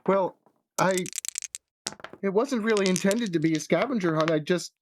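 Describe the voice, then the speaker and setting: A man voicing a flustered, regretful woman in a tabletop game, halting and earnest as a wife pleading her case. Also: sits high, darkish tone, a little hiss or hum, voice pushed up, close to an everyday voice. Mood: apologetic, pleading. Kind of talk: tabletop roleplay acting.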